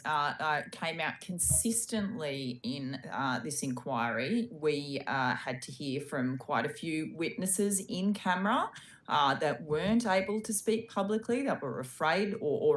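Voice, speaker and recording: An Australian woman on a committee, questioning a minister in a halting, pressing way full of fillers. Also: deep voice, slight background noise. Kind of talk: parliamentary questioning. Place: parliamentary committee hearing room.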